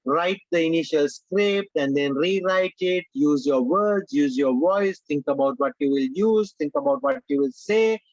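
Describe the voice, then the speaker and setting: Man from sri lanka, high voice, emphatic workshop trainer, dry muffled mic. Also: a little slow, few pauses, no room sound. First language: Sinhala or Tamil. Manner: animated, emphatic, repeats "my dear friends". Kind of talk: online workshop lecture.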